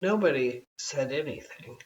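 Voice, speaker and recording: An adult reader narrating a bedtime story in an expressive, measured storytelling manner. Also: mid-range voice, distant mic, highs cut off.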